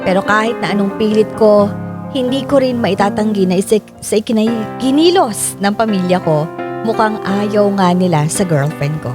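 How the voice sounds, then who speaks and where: A woman's voice: medium pitch, normal speed. A woman with a Filipino accent narrating a dramatized first-person story. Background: music.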